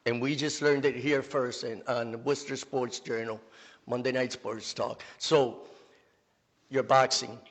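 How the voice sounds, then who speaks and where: A man's voice: mid-range voice, level tone, takes it slowly. A man hosting a sports talk show, asking questions in a conversational bilingual interview style. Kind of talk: conversational bilingual interview, switching between Spanish and English. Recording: echoey room with long reverb.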